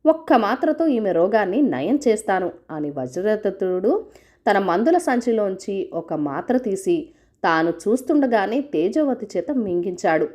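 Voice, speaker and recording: A woman's voice: medium pitch, big pitch swings, unhurried, darkish tone. A woman reading a scripted folk tale as a storyteller.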